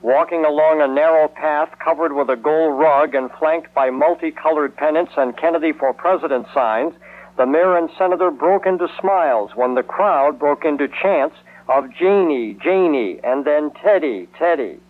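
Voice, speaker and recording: An American male reporter reads a prepared news story. His pitch is a little high for a man. The audio is narrow and tinny, like vintage radio. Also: normal speed.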